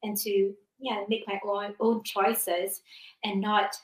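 A woman speaking earnestly as a panel guest, in a steady manner. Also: medium pitch, takes it slowly, echoey room, recorded from a distance, poor audio. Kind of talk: earnest reflection.

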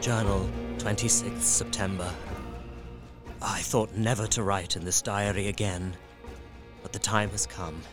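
An actor playing an earnest, newly resolute young husband, reading his journal in a measured, literary way for an audio drama. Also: lowish voice, fairly even intonation, loud music behind the voice, natural voice. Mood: resolute, reflective.

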